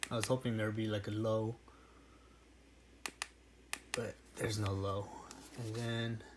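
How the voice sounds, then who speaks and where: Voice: mid-range voice, little pitch movement, slow pace. A product reviewer giving casual unboxing commentary, informal and thinking aloud. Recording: clearly noisy home recording with clicks.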